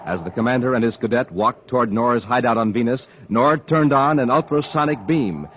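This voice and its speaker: A man's voice: mid-range voice, moderate pace, fairly bright tone. A man announcing on 1950s radio with a punchy, enthusiastic scripted delivery. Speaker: announcer and narrator. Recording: dull vintage radio recording, faint noise.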